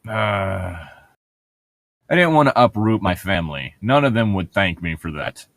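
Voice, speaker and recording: Low-voiced man, close and dry. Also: lively intonation, a little slow, a bit bright, no echo. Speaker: co-host of a book read-along show. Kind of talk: casual banter mixed with reading a novel aloud.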